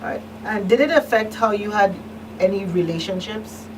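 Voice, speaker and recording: A woman's voice: medium pitch, moderate pace. A woman hosting a talk show, interviewing conversationally and attentively, feeling her way through questions. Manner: attentive, rephrases questions, frequent murmured acknowledgments. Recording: distant mic, noisy room hum.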